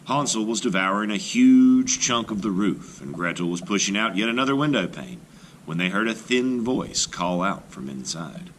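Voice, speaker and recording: A man, a teacher, reading a folktale aloud for children with expressive narration. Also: on the low side, moderate pace, slight background noise. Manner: expressive, rising and falling with the tale. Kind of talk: storybook read-aloud narration.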